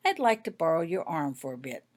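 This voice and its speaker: Male wizard healer for a storybook, read by a woman: kindly, unhurried manner, gentle, curious, casual. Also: low voice, very animated. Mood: interested.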